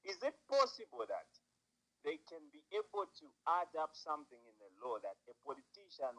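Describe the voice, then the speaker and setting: A man, a caller giving indignant, halting political commentary full of repetitions. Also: a little high, a bit bright, rough recording. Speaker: phone-in caller.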